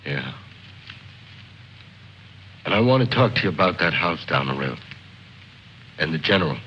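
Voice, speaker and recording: A man's voice: deep voice, very animated, normal speed, pushed and bright, full character voice. An actor playing a bitter, restless soldier in his thirties, brooding and sardonic, in an old film drama. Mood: insistent, mocking. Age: 30s. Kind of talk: mid-century American film drama acting. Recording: muffled, hissy old film soundtrack.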